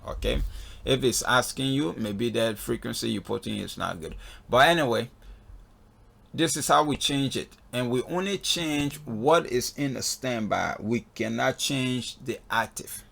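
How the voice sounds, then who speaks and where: A man with a gravelly, husky voice giving a casual step-by-step spoken walkthrough as an instructor, often checking in with "okay.". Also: mid-range voice, measured pace, fairly bright tone. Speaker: flight instructor in a tutorial video. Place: voice-over on a screen recording.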